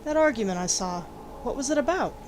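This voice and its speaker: Woman acting young elf woman, highish voice, lively intonation, terse, composed, game protagonist, loud music behind. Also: brisk, a little hiss or hum, lightly acted. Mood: composed, curious. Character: cool, terse young female elf recruit warrior. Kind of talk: fan voice-over for a game protagonist. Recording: homemade mic under loud game music.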